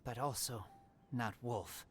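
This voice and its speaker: An actor voicing a reserved, earnest wolf-raised teenage boy in a video game, speaking in halting, simple broken phrases. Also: medium pitch, close to an everyday voice. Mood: quietly reassuring. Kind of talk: video game character dialogue. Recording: music behind the voice.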